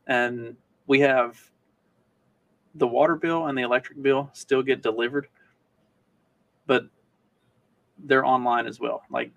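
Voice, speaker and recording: A man's voice: mid-range voice, creaky. A man from Kentucky co-hosting a podcast, talking in a rambling, colloquial, casual way with some swearing. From Kentucky, United States. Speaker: podcast co-host. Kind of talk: casual chat. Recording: distant from the mic.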